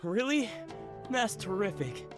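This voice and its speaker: An actor voicing an upbeat, supportive bazaar local in a video game, hearty and encouraging. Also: male voice, high voice, very animated, slow, over music, heavily acted. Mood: eager, supportive.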